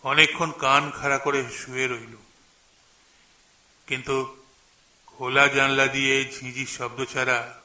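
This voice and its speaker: A man narrating a suspense story in a measured, dramatic manner with weighty pauses. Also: medium pitch, little pitch movement, bright, forceful tone, reverberant, rough recording.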